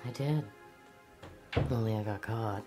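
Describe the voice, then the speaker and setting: An actress playing a tough, cynical young rebel in an audio drama, speaking in a wry, blunt way. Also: female voice, a bass voice, a little slow, music in the background. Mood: cynical, resigned. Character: cynical, tough woman, a captured rebel escapee.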